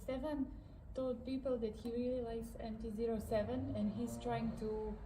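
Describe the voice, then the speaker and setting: A woman's voice: on the high side, little pitch movement, moderate pace, recorded from a distance. A woman from northern Serbia with a Serbian accent, chatting casually as a passenger co-presenter. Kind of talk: casual chat. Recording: roomy echo, clearly noisy background.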